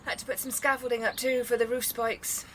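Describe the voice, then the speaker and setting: A woman's voice: a little high, flat delivery, brisk, a bit bright, a little hiss or hum, lightly acted. An actress playing a stubborn, suspicious woman in an audio drama, speaking in a blunt, clipped way. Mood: paranoid, insistent. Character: suspicious, stubborn woman guarding her money. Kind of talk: naturalistic audio drama acting.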